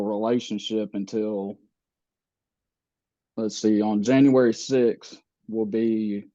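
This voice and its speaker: A man in his thirties, born in the Philippines and raised in the US, telling his life story slowly with many pauses and fillers. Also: on the low side, warm tone, dry recording, dull audio. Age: 30s. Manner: slow, halting, many fillers and restarts. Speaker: podcast guest.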